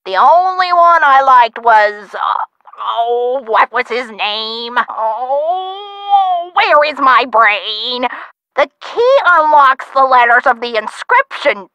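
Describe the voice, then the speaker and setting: A woman's voice: high-pitched, big pitch swings, sharp tone, clear, resonant tone, no echo, lo-fi sound, heavily acted. A woman voicing a chatty, forgetful, feisty elderly woman with folksy old-time slang in a video game. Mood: flustered, forgetful. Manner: chatty, forgetful, folksy exclamations, drawn-out words. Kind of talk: video game character acting.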